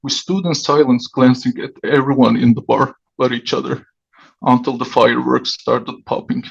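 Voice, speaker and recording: A man whose first language is Turkish, reading his own short story aloud over a video call in a measured way. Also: mid-range voice, steady tone, gravelly, husky. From Turkey. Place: dimly lit home room. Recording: dull lo-fi video call audio.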